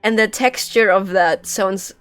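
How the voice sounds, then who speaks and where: A woman live-streaming a game with casual, informal, expressive commentary, close on the mic. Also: mid-range voice, unhurried, clear, resonant tone, no echo. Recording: dry, game music behind.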